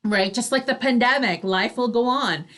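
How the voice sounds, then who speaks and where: A woman's voice: fairly high pitch, moderate pace, fairly bright tone. A woman co-hosting a talk show, chatting casually and agreeing along. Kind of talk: casual supportive banter.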